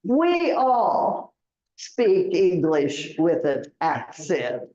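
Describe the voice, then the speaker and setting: Woman teacher, Oklahoma accent, very slow and patient delivery, roomy muffled classroom recording. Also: on the low side, wildly swooping pitch, warm tone. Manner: patient, repeats words for learners, laughs often. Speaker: English and citizenship teacher. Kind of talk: classroom language lesson.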